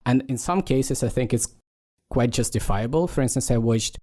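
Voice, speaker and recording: A man with a mellow, warm tone at a middle pitch. He co-hosts a casual podcast talk. He speaks haltingly, stopping often to search for the right word. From Ukraine. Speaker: podcast co-host. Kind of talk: casual discussion.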